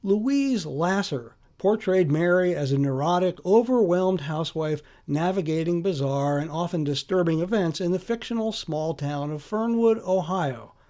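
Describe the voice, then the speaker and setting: A man hosting a podcast, reading scripted documentary narration in a measured, deliberate way with emphasis on lists. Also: high voice, dark tone.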